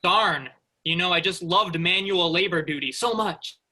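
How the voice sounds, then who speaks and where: Hapless space dreamer for stage comedy, male reader: high, very sharp bright voice, pompous would-be hero. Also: normal speed, full character voice. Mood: sheepish. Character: pompous, hapless daydreaming spaceship worker playing heroic captain. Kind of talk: staged comedy play reading.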